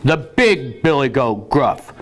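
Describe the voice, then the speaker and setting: Man as a bold, slow-talking big billy goat. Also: medium pitch, very animated. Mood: bold, defiant. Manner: loud, challenging.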